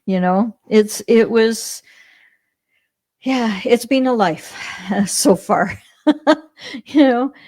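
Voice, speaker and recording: A middle-aged Canadian woman telling her story as an interview guest, animated, often laughing, with many restarts. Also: fairly high pitch, drawn-out words, long pauses, no echo, clean studio sound. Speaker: podcast guest.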